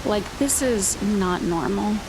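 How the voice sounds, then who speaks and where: A woman's voice: mid-range voice, takes it slowly, gravelly. A woman playing a tabletop game, reasoning aloud in an inquisitive, analytical way. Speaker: player. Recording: noisy hiss.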